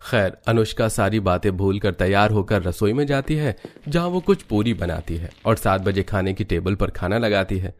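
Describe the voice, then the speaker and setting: A man's voice: lowish voice, animated, normal speed, hardly any pauses. A man narrating a scripted cartoon family story as its storyteller.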